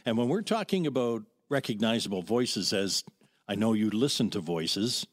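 A man's voice: on the low side, animated, normal speed, no room sound, studio quality. A man hosting an interview, asking questions in a conversational way. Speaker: interview host.

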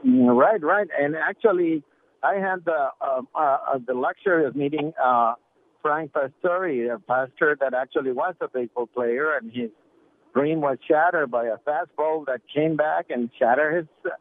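A man from Ecuador, a native Spanish speaker, calling in to a radio show and chatting casually with hesitant pauses. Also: on the high side. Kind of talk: casual phone-in chat. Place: phone call into radio show. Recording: narrow tinny phone line.

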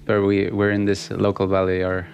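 A Swedish man, a musician guest whose first language is Swedish, answering in a halting casual interview with many fillers and restarts. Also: sits low, completely flat delivery, gravelly, dull audio. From Sweden.

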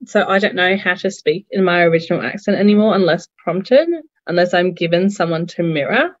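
A woman's voice: lowish voice, dull audio. A woman from Singapore with an Australian accent, a psychologist speaking thoughtfully as an interview guest. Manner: thoughtful, measured, occasional laughs. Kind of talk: reflective interview talk.

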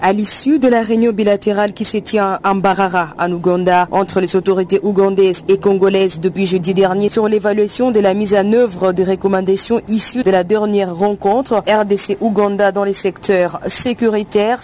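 A female correspondent reading a news report in a steady tone. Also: mid-range voice, normal speed, few pauses, a little hiss or hum.

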